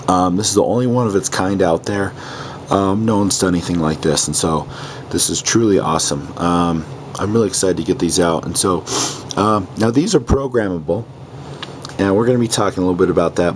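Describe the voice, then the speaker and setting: Hoarse low-voiced man demoing gadgets. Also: a little hiss or hum. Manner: rambling, many ums, enthusiastic. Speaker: product demonstrator. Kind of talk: casual product demo.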